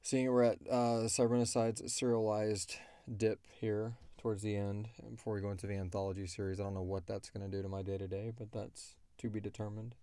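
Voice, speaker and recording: Guy with a lowish voice chatting casually on a podcast, rambly, jokes at his own expense, dry sound. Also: little pitch movement, brisk, hardly any pauses, warm tone, smooth, no echo. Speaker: podcast host and creator. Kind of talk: casual question-and-answer chat.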